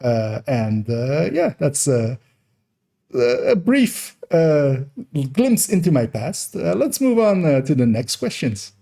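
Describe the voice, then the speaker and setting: A man's voice: a little high, big pitch swings, takes it slowly, vocal fry, warm tone. A young man born in Iran and raised in the Netherlands, with Farsi as his first language and a mixed Persian, Dutch and American accent, rambling through a Q&A for his gaming channel with many fillers and restarts. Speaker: gaming YouTuber. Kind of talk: rambling Q&A monologue.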